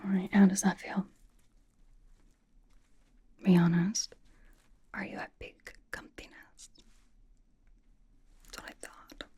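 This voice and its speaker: A woman voicing a blunt but cozy young female pop star in a breathy, casual, halting ASMR whisper, doting on the listener. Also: lowish voice, flat delivery, mellow. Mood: coaxing, playful. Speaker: ASMR roleplay performer. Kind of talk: whispered ASMR personal-attention roleplay.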